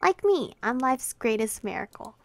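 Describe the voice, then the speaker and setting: A woman's voice: a little high, sing-song, normal speed, dry recording, faint music behind. A woman doing a smug, goofy teen prankster. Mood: mock-hurt. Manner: smug, goofy, mock-sincere. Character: cocky teasing teen girl prankster.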